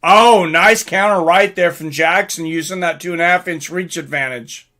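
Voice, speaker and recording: A man's voice: high-pitched, moderate pace, sharp tone. A man giving casual live fight commentary in an informal, conversational way, like a livestream host.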